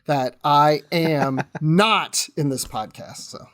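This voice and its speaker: A man's voice: on the high side, slow, fairly bright tone. A man chatting casually as a podcast guest, with a jokey, easygoing way of talking. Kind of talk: casual chat.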